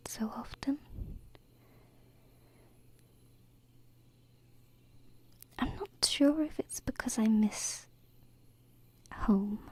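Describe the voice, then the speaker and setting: A woman playing a shy, homesick alien princess in an ASMR roleplay, speaking gently and hesitantly with long pauses into a close binaural microphone. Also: fairly high pitch. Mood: wistful, tender. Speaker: ASMR streamer.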